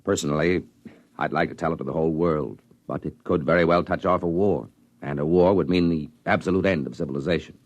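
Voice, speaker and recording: An actor in a 1950s radio drama playing a grave man confiding a secret to a professor in a measured voice. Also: extremely low pitch, no echo, dull audio.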